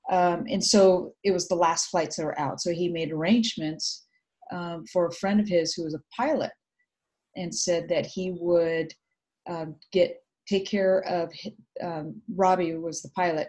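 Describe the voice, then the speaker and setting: A middle-aged woman from Vietnam, Vietnamese her first language, telling her story thoughtfully in a video call interview with many pauses. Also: low-pitched, darkish tone. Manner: reflective, hesitant with many fillers. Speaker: guest. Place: home room indoors. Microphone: video call webcam.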